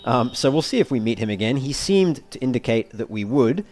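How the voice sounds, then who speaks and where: A man giving casual let's play commentary as a walkthrough host, explaining things in a measured, wordy, slightly formal way. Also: medium pitch, lively intonation, a little mellow.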